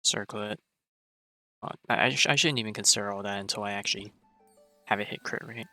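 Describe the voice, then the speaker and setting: A man streaming a video game, thinking aloud in a casual, rambling way. His voice is fairly low but bright and forward, with faint music underneath. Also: brisk. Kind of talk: casual live gameplay commentary.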